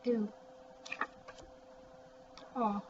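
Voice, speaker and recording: A woman leads an early phonics lesson at a very unhurried pace, breaking words into sounds and saying them again. She adds chatty little comments as she goes. The recording is muffled and a little distant, with faint noise behind her voice. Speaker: early reading teacher. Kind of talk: word-by-word phonics lesson for young children.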